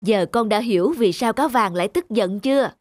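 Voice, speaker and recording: A woman voicing a calm, wise father bear who patiently explains things to his son. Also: fairly high pitch, brisk, no room sound, studio quality, voice pushed down, lightly acted. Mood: gentle wise. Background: music.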